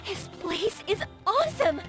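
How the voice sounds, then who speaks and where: An actress playing a bubbly, excitable young woman traveler in an anime-style game, gushing and eager. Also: very high voice, a little slow, full character voice. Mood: excited. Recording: music playing behind the voice.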